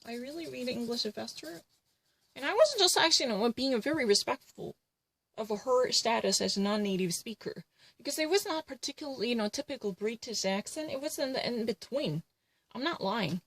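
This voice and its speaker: A woman's voice: a little high, lively intonation, brisk, breathy edge. A woman ranting to camera in an indignant, repetitive way, filling her sentences with "actually" and "you know". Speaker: vlogger.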